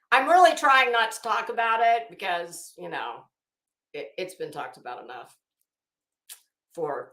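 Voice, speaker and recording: A woman's voice: mid-range voice, fairly bright tone. A woman hosting a casual livestream chat, talking to viewers in a gossipy way with chuckling, coy asides. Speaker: livestream host. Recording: roomy, echoey room sound.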